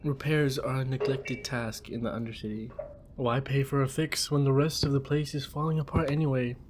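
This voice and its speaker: A man's voice: medium pitch, steady tone, normal speed, background noise. A man co-narrating an atmospheric scripted fiction podcast.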